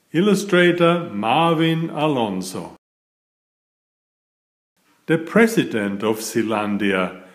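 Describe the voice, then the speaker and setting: Slow, lively male storybook narrator. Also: mid-range voice. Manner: deliberate, expressive storytelling for small children. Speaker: author reading his picture book aloud. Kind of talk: scripted children's storybook narration.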